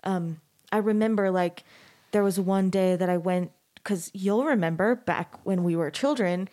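A woman's voice: medium pitch, moderate pace, mellow. A woman in her thirties hosting a podcast, talking in a rambling, casual way with many fillers and restarts. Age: 30s. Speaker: podcast host. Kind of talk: casual chat.

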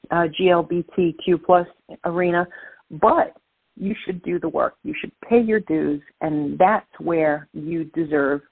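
A middle-aged woman with a Brooklyn accent speaks over a tinny phone line. Her voice is husky. She talks with energy and stacks up emphatic repetitions. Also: lowish voice, dry recording. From Brooklyn, New York. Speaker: guest speaker. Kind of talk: phone-in interview, casual.

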